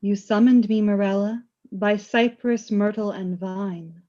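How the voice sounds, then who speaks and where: A woman playing Death in a radio play as a calm, knowing spirit, speaking in a measured, theatrical way with a taunting edge. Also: mid-range voice, dull audio, natural voice. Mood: solemn. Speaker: voice actor.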